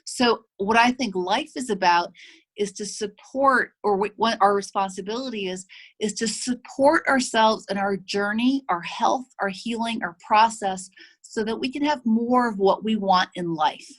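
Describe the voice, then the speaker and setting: A woman's voice: medium pitch, unhurried. A woman chiropractor and health practice owner gives a conversational talk to an association. She often checks in with "make sense?" and acts out her patients' remarks. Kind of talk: presentation to an association.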